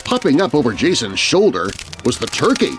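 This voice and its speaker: A man's voice: mid-range voice, wildly swooping pitch, a little fast, loud music behind the voice. A man narrating a scary story for children in a dramatic, scripted storytelling style.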